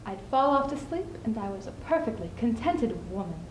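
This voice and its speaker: A young woman performing a stage monologue as a spirited, scheming widow, speaking emphatically with deliberate theatrical pauses. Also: on the high side, very animated. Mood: reflective, wistful. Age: young adult. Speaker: student speech team performer. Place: indoor school showcase stage. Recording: echoey room, clearly audible background noise.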